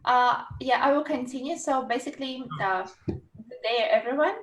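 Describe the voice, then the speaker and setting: A woman's voice: sits high, normal speed. A young woman from Uzbekistan, a university staff member, speaking hesitantly with many fillers on a video call. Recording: echoey room heard from far off.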